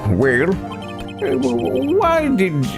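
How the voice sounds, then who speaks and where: A man's voice: very high voice, huge pitch swings, extremely slow, creaky, heavily acted. A man voicing an elderly judge in a courtroom video game, stern, pompous and easily flustered, with a ponderous, stammering, formal delivery. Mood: deliberate, inquiring. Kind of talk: live voiced playthrough of a courtroom video game. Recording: game music under the voice.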